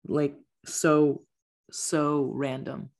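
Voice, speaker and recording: A woman's voice: low voice, flat delivery, very slow, a little mellow. A woman hosting a podcast, chatting casually and thanking her guests. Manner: friendly, wraps up with repeated thanks. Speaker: podcast host. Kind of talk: casual interview chat.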